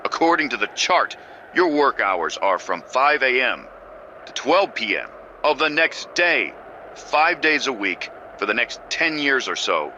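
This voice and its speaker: A man's voice: medium pitch, very animated, a little slow, pushed and bright, lo-fi sound, slight background noise, exaggerated voice. A man doing a blunt mocking sergeant. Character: blunt male base sergeant, insulting and bossy.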